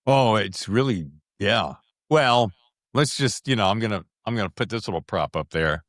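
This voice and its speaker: A man's voice: fairly low pitch, animated, normal speed, fairly bright tone, no echo, clean studio sound. A man hosting a podcast in a casual, chatty, jokey and informal way. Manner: jokey, informal, mildly profane. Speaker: podcast host. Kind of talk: casual, chatty show introduction and interview. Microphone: standalone microphone.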